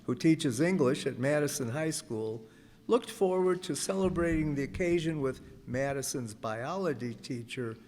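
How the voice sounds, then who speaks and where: A man playing a polished, formal 1950s radio announcer with deliberate delivery. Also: fairly high pitch, animated, a little mellow, natural voice. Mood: polished, promotional. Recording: echoey hall, live stage reading.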